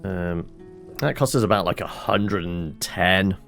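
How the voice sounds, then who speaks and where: A man's voice: deep voice, measured pace, vocal fry, fairly bright tone, faint music behind. A man giving casual let's-play commentary, muttering his thoughts aloud as he plays and swearing now and then. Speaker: gaming channel host.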